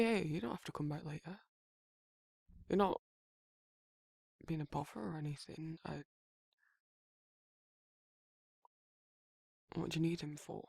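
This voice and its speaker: A man voicing a gruff but caring werewolf in an intimate ASMR roleplay, with a husky Northern English accent and a hesitant, informal way of talking. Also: on the high side, darkish tone, dry recording, clean studio sound. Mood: friendly, flustered. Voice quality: husky, gravelly. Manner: hesitant, informal, stumbling over feelings. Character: gruff but caring young male werewolf, awkwardly protective.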